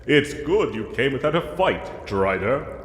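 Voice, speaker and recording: In a long, echoing space, a pompous knight acted by a man holds forth in a fantasy roleplay. His formal, old-fashioned speech is slow and swoops theatrically, condescending but with a soft heart beneath the gallantry. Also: mid-range voice, very animated. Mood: stern, condescending. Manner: formal, archaic, grandly theatrical diction. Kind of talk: scripted fantasy audio roleplay. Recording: echoey cave-like reverb, music underneath.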